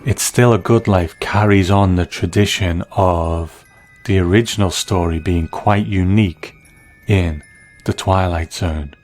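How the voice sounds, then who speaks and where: A man's voice: low voice, unhurried, a little mellow, faint music behind. A man delivering scripted commentary as the narrator of a review.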